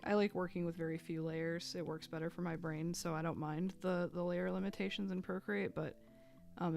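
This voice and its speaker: Woman streaming her drawing, gravelly low voice, a little hoarse, rambling, soft guitar music behind. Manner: chatty, thinking aloud, with small laughs and fillers. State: losing her voice. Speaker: artist streaming live. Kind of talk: casual live-stream chat while drawing.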